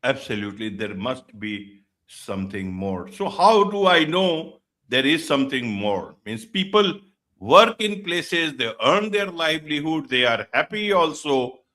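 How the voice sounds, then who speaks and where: Bright-voiced male interview host. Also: on the high side, sing-song, unhurried. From India. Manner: formal, repetitive welcomes. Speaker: show host. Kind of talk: online interview show.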